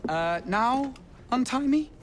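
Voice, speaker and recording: An actor playing a cheeky, theatrical English rogue spy in a video game, playful and mock-deferential. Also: male voice, extremely high pitch, animated, takes it slowly, slight background noise, exaggerated voice.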